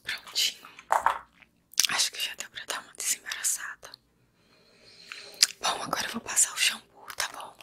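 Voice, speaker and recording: A woman's voice: very deep voice, monotone, normal speed, gravelly, pauses often. Whispering young Brazilian woman. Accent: Brazilian Portuguese. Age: 20s. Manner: soft, gentle, addressing the listener as a client. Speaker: ASMR creator playing a hairdresser. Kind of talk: whispered ASMR roleplay. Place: small room at home.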